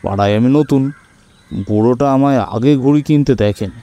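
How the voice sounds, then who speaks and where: Radio drama: earnest, chatty male hobbyist, proud of his kites, very soft dark tone man acting. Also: mid-range voice, moderate pace, lo-fi sound, lightly acted. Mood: recounting. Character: Fatikbabu, keen kite-flying man, new tenant, earnest and proud. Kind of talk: radio audio-story acting, conversational storytelling.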